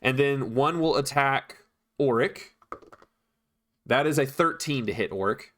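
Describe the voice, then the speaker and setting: A man's voice: medium pitch, expressive, measured pace, fairly bright tone, a little hiss or hum. A man working as game master, running a tabletop game and calling out moves and dice rolls.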